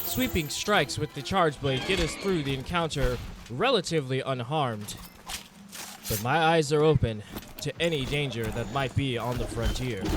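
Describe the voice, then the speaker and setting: A man's voice: mid-range voice, background noise. A man narrating a monster-hunting game in the first person, in a dramatic storytelling manner, as a scripted adventure. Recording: music behind the voice.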